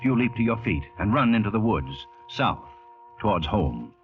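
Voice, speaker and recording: A man acting a grave, intense narrator in an old-time radio drama, deliberate and theatrical, with an ominous manner. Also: fairly low pitch, big pitch swings, over music. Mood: tense relief. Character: grave, intense second-person storyteller narrator. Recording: muffled vintage radio recording.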